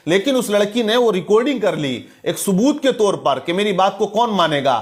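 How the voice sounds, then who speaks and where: A man's voice: sits high, animated, normal speed. A man presenting online news commentary in a preachy, denunciatory manner, quoting religious sayings.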